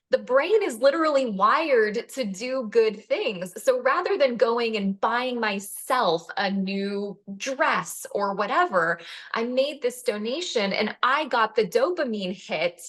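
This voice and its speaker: A woman's voice: on the high side, fairly bright tone. A woman hosting a community conversation, talking with enthusiasm in long, flowing sentences. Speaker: host.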